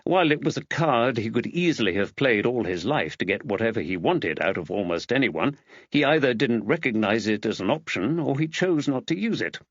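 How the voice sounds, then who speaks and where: A man narrates a literary audiobook in a measured, expressive way. His pitch is middling but moves about a great deal, and the recording is dry and slightly dull. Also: no echo.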